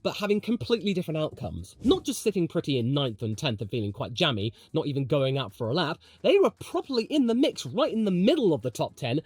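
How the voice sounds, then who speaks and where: A man's voice: a little high, sing-song, quick pace, hardly any pauses, darkish tone, slightly rough, no room sound. A man with a British accent giving opinionated, slangy motorsport commentary straight to his audience, with emphatic storytelling.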